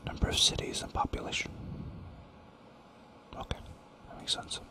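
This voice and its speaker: A man giving whispered ASMR commentary while playing a strategy game, rambling and thinking aloud, toying with repeated words, close to the microphone. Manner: soft and unhurried, thinks aloud. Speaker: gaming channel host.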